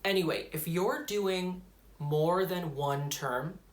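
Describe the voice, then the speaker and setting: A man's voice: high-pitched, takes it slowly. A young man vlogging casually to the camera, rambling and self-deprecating as he talks about his studies. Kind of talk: casual vlog talk to camera. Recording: echoey room.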